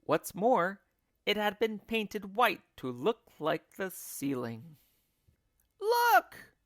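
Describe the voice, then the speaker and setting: A man reading a children's story aloud as a teacher, in a measured, expressive narrating style. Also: sits high, no room sound, clean studio sound.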